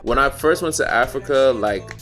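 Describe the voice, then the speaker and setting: A man's voice: medium pitch, normal speed, a bit bright. A young man born in Cameroon and raised in the US, telling stories in a laid-back way as a guest. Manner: laid-back storyteller, heavy on fillers like "like". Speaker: musician guest. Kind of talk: casual chat. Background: music playing.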